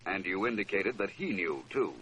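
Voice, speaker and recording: A man playing an assured, probing gentleman detective in 1940s radio drama style, smooth and insinuating. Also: low-pitched, animated, normal speed, rough recording. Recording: old radio recording, noise behind.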